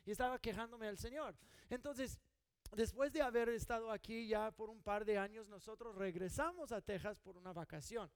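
A man's voice: high voice, normal speed, clean tone, no echo. A middle-aged man from California preaching a sermon in an earnest, conversational way, often restarting his sentences. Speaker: pastor.

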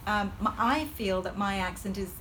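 A woman's voice: mid-range voice, moderate pace, fairly bright tone, a little hiss or hum. A middle-aged woman surgeon with a Hong Kong colonial English accent, talking as a podcast guest with many ums and frequent laughter. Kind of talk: podcast interview.

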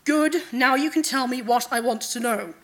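A woman's voice: high-pitched, moderate pace, fairly bright tone, voice pushed up. Woman voicing a troubled, bitter undead king. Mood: stony, demanding.

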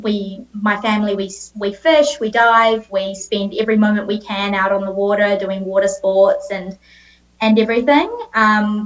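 A New Zealand woman in her late twenties talks casually as an interview guest. She rambles a little, filling gaps with ums and restarting her sentences. The recording sounds a bit dull and lo-fi. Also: mid-range voice. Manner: chatty, many ums and restarts.

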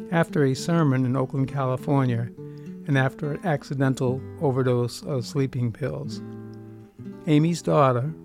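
A man presents a radio program in an informal narrating style. His voice is mid-pitched, warm and dark in tone. Faint plucked guitar music plays behind him. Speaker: radio show host.

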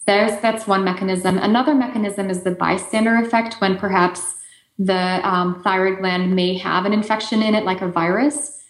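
A woman's voice: mid-range voice, steady tone, moderate pace, echoey room. A woman with an Eastern European accent, a native Polish speaker, answering interview questions in an explanatory way with frequent fillers and restarts. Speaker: guest expert.

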